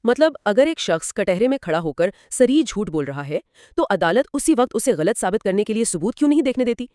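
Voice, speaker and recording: A woman's voice: mid-range voice, big pitch swings, brisk, warm tone, no room sound. Inquisitive woman in a dry-sounding recording. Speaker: co-host leading with questions. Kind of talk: conversational legal explainer dialogue.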